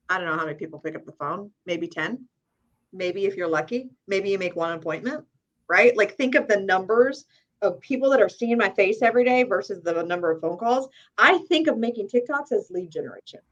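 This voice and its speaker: A woman's voice: a little high, muffled recording. Upbeat woman giving advice in casual chat. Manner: upbeat, talks in quick asides with frequent "right?". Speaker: real estate agent guest.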